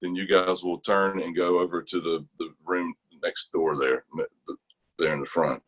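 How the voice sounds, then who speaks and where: A middle-aged American man telling stories casually in conversation, animated and colloquial. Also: deep voice, normal speed, slightly rough. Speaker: interview guest, retired army chorus bass singer.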